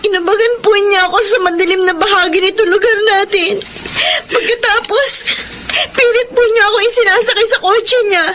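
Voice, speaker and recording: A woman's voice: extremely high pitch, steady tone, moderate pace, fairly bright tone, slight background noise. An actress playing a frightened, meek young woman, crying as she speaks respectfully in a Filipino radio drama. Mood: tearful. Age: young adult.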